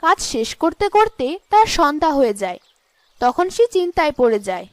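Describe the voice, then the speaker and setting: A high-pitched woman narrator tells a scripted cartoon tale. Her delivery swings widely in pitch, and the recording is dry and close. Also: big pitch swings, fairly quick, no room sound.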